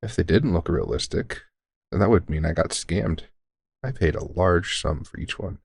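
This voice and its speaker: A man playing a deranged centuries-old elf shopkeeper who is courteous, formal and unsettlingly calm, in an audio roleplay. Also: low-pitched, normal speed, creaky, slightly rough, dry recording, clean studio sound, full character voice. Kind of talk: scripted audio roleplay addressing the listener.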